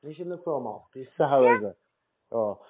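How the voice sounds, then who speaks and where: A man's voice: mid-range voice, lively intonation, moderate pace, background noise. A man posing as a customer on a telephone call, asking persistent questions in a naive-sounding way. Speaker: prank caller posing as customer. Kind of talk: phone conversation. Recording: narrow phone-like sound.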